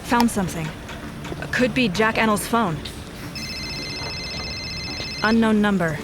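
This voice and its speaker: Slow, intense female investigator played by an actress. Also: mid-range voice, music in the background, natural voice. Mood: wary. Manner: terse, intense, short fragments. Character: serious, determined female police investigator. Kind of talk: audio drama acting.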